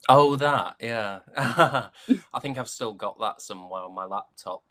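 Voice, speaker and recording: A man's voice: mid-range voice, a little slow, fairly bright tone, dry recording. A British man chatting casually as an interview guest, an actor with a joking, self-deprecating way of talking. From United Kingdom.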